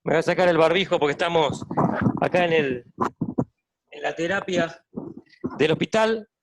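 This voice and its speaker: A man's voice: a little high, moderate pace, slight background noise, low-quality recording. A man with a Buenos Aires Argentine accent, hosting a medical webinar and introducing guests on a video call. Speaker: webinar host, cardiologist. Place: hospital intensive care unit.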